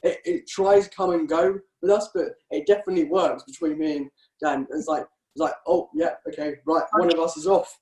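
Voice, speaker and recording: A man's voice: fairly high pitch, fairly even intonation, normal speed, a little mellow, roomy sound, low-quality recording. A man who plays scrum half, chatting hesitantly on a podcast with many restarts and fillers. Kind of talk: casual podcast chat.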